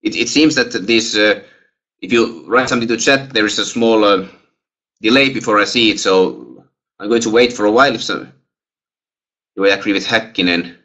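A young man with a Finnish accent lectures over a video call through a headset. His voice is bright and forward. He pauses a lot, fills gaps with "uh" and "well", and restarts sentences. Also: on the low side. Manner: hesitant, many fillers and restarts. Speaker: guest lecturer. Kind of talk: webinar Q&A answers.

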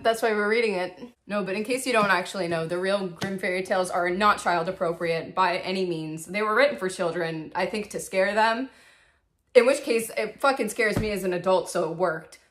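A woman's voice: medium pitch, moderate pace, fairly bright tone, roomy sound. A woman in her twenties who hosts a video, talking casually and reading storybook-style in a candid, informal manner. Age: 20s. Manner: candid, swears freely, breaks off into asides. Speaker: video host reading a fairy tale aloud. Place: small bedroom, indoors.

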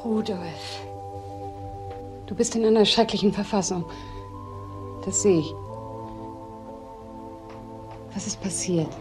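A woman's voice: mid-range voice, unhurried, natural voice. An actress playing an anxious, insistent woman in a crime radio drama, pleading and probing in short phrases. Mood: worried, pleading. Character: anxious, insistent woman confronting a troubled man. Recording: music under the dialogue.

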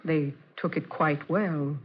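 Nosy suspicious accomplice, high voice, muffled old radio play. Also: male voice, fairly even intonation, slow, husky. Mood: probing, cool. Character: probing, suspicious accomplice wanting a share. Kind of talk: 1940s radio drama acting. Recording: muffled vintage radio recording.

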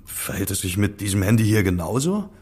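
An actor playing a sceptical amateur investigator in an audio drama, asking direct, slightly flippant questions. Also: male voice, fairly low pitch, sing-song, a little fast, darkish tone, slightly rough. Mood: probing, wry. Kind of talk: German audio drama dialogue acting.

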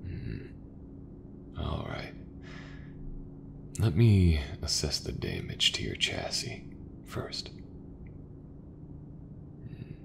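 A man playing an easygoing, folksy sci-fi mechanic in a soft, breathy, reassuring ASMR roleplay voice. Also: extremely low pitch, huge pitch swings, noisy background, exaggerated voice. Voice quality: gravelly, breathy, half-whispered. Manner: slow, unhurried, reassuring, colloquial. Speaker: voice actor.

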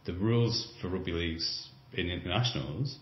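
A man's voice: sits low, moderate pace. A man hosting a sports podcast, making long, reasoned points in a conversational way. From Britain or Ireland. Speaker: podcast host and writer. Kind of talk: podcast interview. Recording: roomy, slightly dull remote audio.

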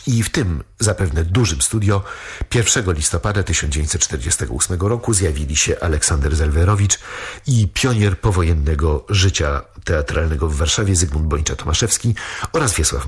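A man presenting radio history in measured, scripted narration. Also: deep voice, expressive, breathy edge. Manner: measured, informative.

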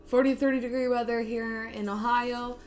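A raspy woman with a fairly high voice hosts a cooking livestream in bubbly, casual chat. She laughs easily and greets her viewers one by one. Faint music plays quietly behind her. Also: level tone, moderate pace. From Texas. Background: faint background music.

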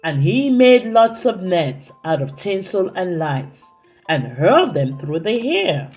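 A woman reading a children's story aloud in an expressive, dramatic, deliberate way. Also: on the low side. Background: soft music.

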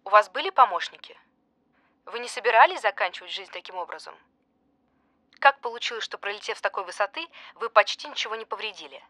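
Desperate lady journalist in an audio drama, talks fast, keeps pressing politely, dry close sound. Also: medium pitch, animated, sharp tone, no echo. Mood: polite, insistent. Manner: polite, insistent questioning. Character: pushy, desperate female journalist fishing for an interview. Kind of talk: naturalistic audio drama acting.

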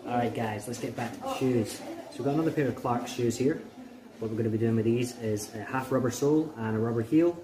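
A man's voice: medium pitch, fast talker, crowd noise. Chatty Scottish cobbler in his thirties. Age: 30s. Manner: relaxed, chatty, addresses viewers as guys. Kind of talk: casual workshop vlog. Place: small shoe repair shop, indoors.

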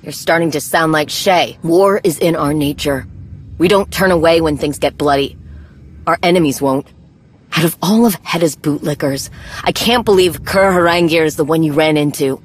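A woman's voice: low-pitched, moderate pace, slight background noise, lightly acted. A woman playing a stern, vengeful warrior commander in a video game, speaking in terse, weighted lines. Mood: stern, bitter. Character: grim, vengeful female Mandalorian warrior commander. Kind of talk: video game dramatic acting.

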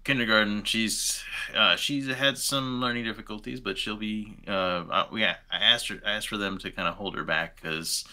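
A man's voice: fairly low pitch, steady tone, moderate pace, hardly any pauses, pushed and bright. A man in his thirties from Texas, chatting casually as a podcast guest. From Texas, United States. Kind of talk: casual chat. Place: home room with bookshelves, video call.

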